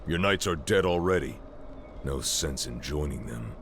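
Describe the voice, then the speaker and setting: A man's voice: very deep voice, expressive, normal speed, fairly bright tone, a little hiss or hum, full character voice. A man playing a grave, guarded wandering warrior in a fantasy game, speaking tersely and sternly. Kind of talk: dramatic fantasy game acting. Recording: music behind the voice.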